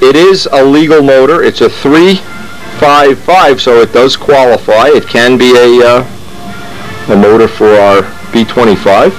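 A man's voice: mid-range voice, poor audio. Rambling hobbyist man in his workshop. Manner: rambling, enthusiastic, stops to restart sentences. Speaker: model airplane builder and channel host. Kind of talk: casual workshop narration. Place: home workshop.

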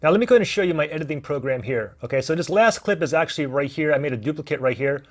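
Guy in his 30s doing a chill gear test vlog, kinda high voice, lots of ums, camera mic indoors. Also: rapid-fire. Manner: relaxed, step-by-step with fillers. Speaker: gear reviewer. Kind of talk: casual how-to walkthrough. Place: indoor room, desk demo. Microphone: camera shotgun mic.

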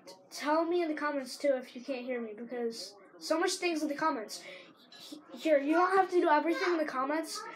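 A teenager with a sore throat rambling casually while commentating a video game, breaking into excited shouts at plays. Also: female voice, high-pitched, rough recording. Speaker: gamer.

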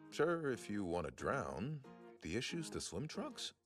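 A man voicing a flippant, exasperated high school English teacher in an anime fan dub, casual and wry. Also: wildly swooping pitch, unhurried, faint music behind. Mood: dry.

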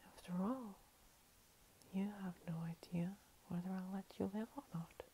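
A woman's voice: mid-range voice, lightly acted. Woman playing a whispering, menacing vampire princess. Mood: teasing menace. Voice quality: soft, breathy whisper. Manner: slow, teasing, condescending, with lingering pauses. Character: menacing, playful female vampire cat-girl princess, centuries-lived predator. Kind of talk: whispered ASMR roleplay. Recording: steady hiss.

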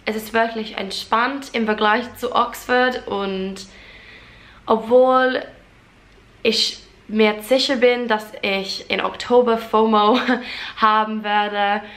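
A woman's voice: on the high side, a bit bright. A young Englishwoman chatting casually to the camera in German as a learner, with an English accent and many fillers and restarts. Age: young adult. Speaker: vlogger. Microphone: camera at close range.

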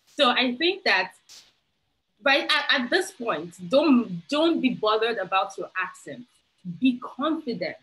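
A woman's voice: fairly high pitch, a bit bright, poor audio. A young Nigerian woman with a Nigerian accent, a webinar panelist giving earnest, encouraging advice over a video call.

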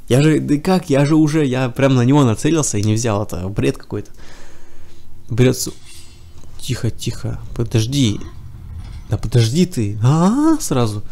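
A man's voice: mid-range voice, lively intonation, normal speed, dark tone. A man with a cold giving casual running commentary while playing a game, chatting to himself and to the enemies on screen. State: has a cold. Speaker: let's play gamer.

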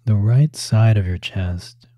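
A man with a very soft, dark, relaxed voice on the low side guides a meditation. He speaks calmly and deliberately, leaving space between short instructional phrases. The recording is close and dry. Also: no room sound. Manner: calm, measured, with long pauses between short phrases. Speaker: yoga teacher leading guided meditation.

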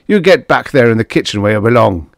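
A man's voice: mid-range voice, sing-song, quick pace, gravelly, no echo, clean studio sound, pitched above the performer's own voice. A man voicing a tyrannical, bullying boss and father with a strident snarl in a science fiction story. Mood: snarling, threatening.

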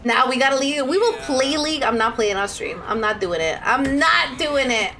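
A young woman streams a game in a very high voice that swings widely in pitch. She talks playfully to her chat in a dramatic, gushing way, with some background noise behind her. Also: moderate pace. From Ontario, Canada. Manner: animated and playful, with dramatic outbursts and gushing endearments. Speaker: live streamer. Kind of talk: chatty game livestream commentary, reading out viewer chat. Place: indoor room at a streaming setup.

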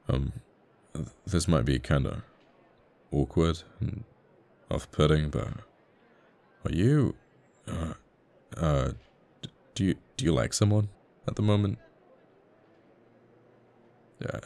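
A man plays a smitten male fighter pilot in an intimate ASMR romance. His voice is extremely low and full of creak, and it moves slowly. He is flirty yet easily flustered, tripping shyly over his words with a tender sincerity. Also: a little mellow, heavily acted. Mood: tender, nervous. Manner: halting, stammering, bashful. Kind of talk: romantic ASMR audio roleplay.

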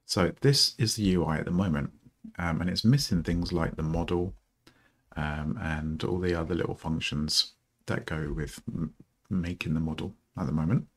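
A middle-aged man with a rough morning voice, thinking aloud slowly and haltingly with many ums while he codes at his desk. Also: low voice, fairly even intonation. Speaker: programmer streaming a coding session.

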